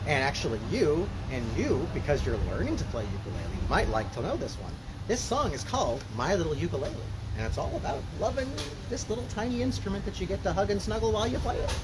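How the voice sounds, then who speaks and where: A man's voice: a little high, sing-song, fast talker, hardly any pauses, noisy background. A man hosting a children's story time, speaking and singing in an informal, encouraging, instructional way. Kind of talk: casual live children's performance, talking to families and singing along with ukulele. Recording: live ukulele music in the recording. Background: ukulele playing.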